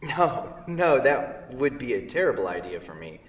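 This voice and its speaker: Man acting an awkward freelance writer, medium pitch, dry, hesitant, defensive, stage play reading, far from the mic, long hall reverb. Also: very animated, moderate pace, close to an everyday voice. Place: theatre, recorded from a distance. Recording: distant mic in echoey hall.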